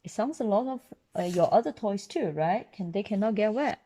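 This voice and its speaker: A woman reading a children's story aloud in an animated style, explaining simply as she goes. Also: medium pitch, normal speed.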